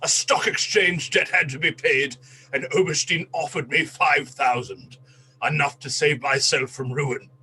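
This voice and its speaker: An actor playing a disgraced English colonel in a radio play, a cornered, self-pitying traitor pleading and confessing in agitation. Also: male voice, a little high, measured pace, sharp tone, rough recording. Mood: anguished confession.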